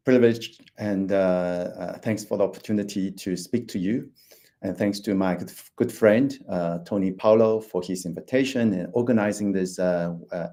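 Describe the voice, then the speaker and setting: Hesitant man in his fifties, deep and mellow. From Taiwan. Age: 50s. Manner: hesitant, many fillers and restarts. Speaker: financial planner presenting. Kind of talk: online presentation.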